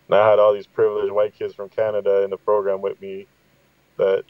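A man's voice: on the low side, monotone, brisk. A middle-aged man from Guatemala telling reflective, conversational stories as a podcast guest over a video call. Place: inside a parked vehicle. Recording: slightly dull, roomy sound.

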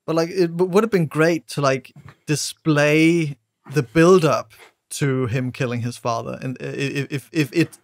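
A man on a podcast panel discussing film casually, blunt and halting, often repeating words. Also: fairly high pitch, steady tone, dry recording, studio quality.

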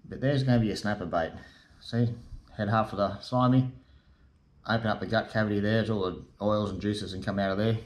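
A man's voice: on the low side, a little fast. A middle-aged man with an Australian accent, chatting casually as he shows how to bait a fishing rig, recorded by a camera at his table in a garage workshop. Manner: relaxed, chatty, with fillers and matey asides. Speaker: fishing channel host. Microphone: camera in front at desk distance.

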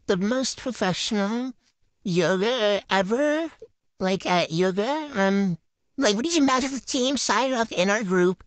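A woman's voice: medium pitch, dry recording. Woman doing a bratty ditzy noob gymnast. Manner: valley-girl drawl, silly and boastful. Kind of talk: improvised game roleplay voiceover.

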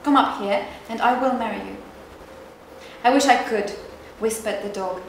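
A woman performing a play reading as a gentle storyteller, in slow, simple storybook phrasing. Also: on the high side, natural voice. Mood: wistful. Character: gentle storyteller of a fairy tale about a dog. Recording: very echoey room, clear noise.

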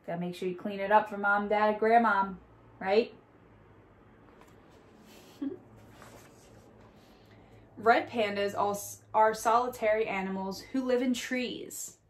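A woman's voice: medium pitch, fairly even intonation, slow, pauses often. A woman around her thirties, a teacher reading a picture book aloud to children and talking to them directly with little questions. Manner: addresses young listeners directly with questions and tag "right?". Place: small indoor room at home or classroom corner.

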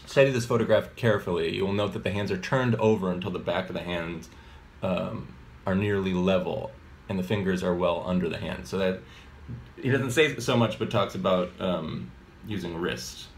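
A man's voice: deep voice, normal speed, a little hiss or hum. A man in his thirties giving a casual music lesson at home, rambling with many fillers and self-corrections, recorded on a phone held at arm's length in a kitchen. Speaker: mallet percussion teacher. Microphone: phone camera at arm's length.

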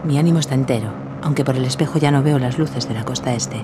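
A woman's voice: very deep voice, fast talker, noisy background. A woman playing a determined, independent 1930s aviator in a scripted audio drama, assured and spirited. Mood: focused. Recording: music behind the voice.

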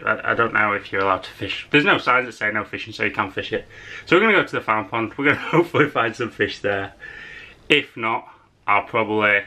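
Easygoing young man, bright voice. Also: medium pitch. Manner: easygoing, enthusiastic, chatty with filler words. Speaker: fishing vlogger. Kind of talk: casual product unboxing and fishing vlog. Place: small room indoors at home.

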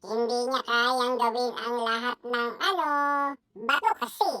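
A man's voice: very high voice, unhurried, very noisy, pitched above the performer's own voice. A man voicing a mocking young child who teases in a sing-song way.

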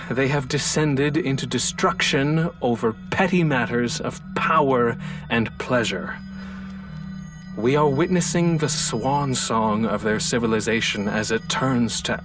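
Actor as a formal, philosophical space station scientist. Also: male voice, medium pitch, distant mic, close to an everyday voice. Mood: solemn. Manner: formal, measured, contemplative. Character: earnest, philosophical male space station scientist, regretful and ponderous. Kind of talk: scripted audio log monologue for a sci-fi game.

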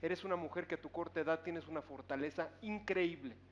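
Male contest judge, middle-aged, slightly high voice, emphatic speechmaking, dull studio sound. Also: fairly quick, slight background noise. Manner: earnest, emphatic, rhetorical repetition. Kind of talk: live judging feedback. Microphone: headset microphone.

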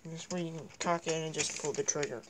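A woman's voice: low-pitched, steady tone, a little fast, very noisy. A woman filming a ghost-hunting vlog on a handheld phone inside a dim house, exclaiming and repeating herself as she looks around. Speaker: vlogger exploring a house. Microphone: handheld phone camera.

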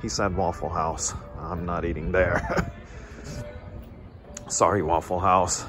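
A man casually narrating a walking tour of a small town, unhurried and full of filler pauses, recorded outdoors on a street. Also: low voice, flat delivery, music in the background. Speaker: travel vlogger.

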